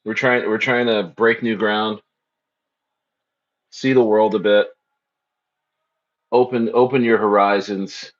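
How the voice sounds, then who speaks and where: A man's voice: lowish voice, fairly even intonation, takes it slowly, pauses often, lo-fi sound. A man hosting a casual crypto livestream, speaking loosely and repeating phrases as he reads the chat.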